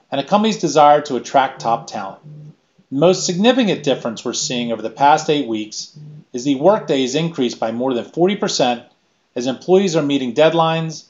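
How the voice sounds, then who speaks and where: A middle-aged man giving a scripted keynote presentation in a measured, list-by-list delivery, recorded on a webcam in a small room. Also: medium pitch, darkish tone, dull audio. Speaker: keynote speaker.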